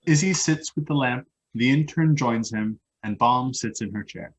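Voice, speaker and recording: Guy reading stage directions, mid pitch, kinda husky and rough, scripted narration. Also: moderate pace, rough recording.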